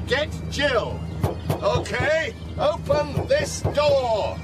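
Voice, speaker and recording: Agitated ex-soldier, high-pitched, swooping. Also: male voice, animated, drawn-out words, exaggerated voice. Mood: urgent, commanding. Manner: urgent, commanding, forceful exclamations. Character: agitated male ex-soldier haunted by the past. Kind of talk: radio drama acting. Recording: loud music and vehicle noise behind.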